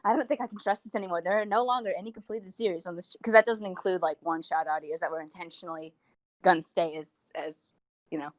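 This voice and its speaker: Female podcast co-host, rapid teasing banter, close dry sound. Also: lowish voice, fairly even intonation, dry recording. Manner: teasing, wordy.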